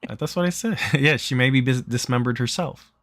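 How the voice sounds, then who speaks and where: A man's voice: mid-range voice, a little fast, a loud crowd. A man reading a game's story aloud in a plain, conversational way, mixed with casual chat. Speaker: streamer reading a visual novel aloud.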